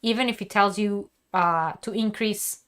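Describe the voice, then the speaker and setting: A woman's voice: mid-range voice, measured pace, a bit bright, clear, resonant tone. A woman teaching crochet on a live stream, chatty and laughing, who says she is losing her voice and keeps coughing. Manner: chatty and laughing, with many fillers and restarts. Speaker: crochet teacher and channel host. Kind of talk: live-streamed craft lesson, answering chat.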